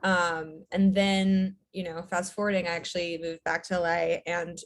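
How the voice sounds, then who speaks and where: A woman in her thirties, a writer-director guest, chatting in a relaxed way with lots of "um"s. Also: fairly low pitch, normal speed. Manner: relaxed, fillers, light laughs. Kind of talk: casual interview chat.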